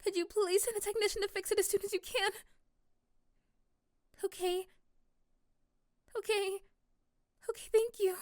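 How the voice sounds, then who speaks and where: A woman's voice: very high-pitched, steady tone, measured pace, pauses often, no echo, studio quality. A woman playing a sweet, anxious young office worker and crush in an ASMR roleplay drama. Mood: panicked.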